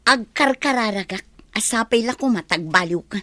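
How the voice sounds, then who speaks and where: A woman's voice: fairly high pitch, animated, moderate pace, pushed and bright, dry recording. A woman with an Ilocano accent playing a fearful, resentful battered wife in a radio drama, muttering under her breath. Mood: bitter pleading. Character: fearful, resentful battered young wife, secretly plotting escape.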